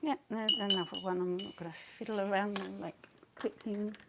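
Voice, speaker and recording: A woman's voice: mid-range voice, steady tone, a little fast, vocal fry, background noise. A woman losing her voice, teaching watercolour painting live in a casual, rambling way and often muttering to herself as she works. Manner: chatty, rambling, thinks aloud, often mutters under her breath.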